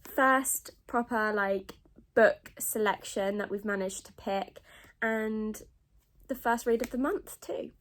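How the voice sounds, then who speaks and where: A British woman with a fairly high voice chats informally as a book vlogger. She sounds groggy and sniffly from a cold, and she rambles along in an easy, conversational style. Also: slow pace, fairly bright tone. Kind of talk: casual rambling vlog chat.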